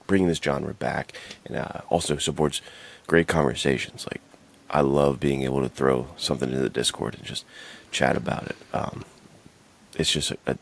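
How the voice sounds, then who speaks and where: A man's voice: a bass voice, gravelly. A man co-hosting a podcast in casual, informal chat with plenty of slang. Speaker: podcast co-host.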